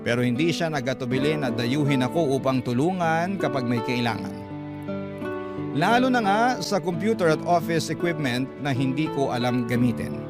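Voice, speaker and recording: A man's voice: medium pitch, moderate pace. A man reading a listener's love-story letter aloud in an earnest, dramatic storytelling manner. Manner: earnest storytelling, addresses the host. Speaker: letter reader. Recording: music bed under voice.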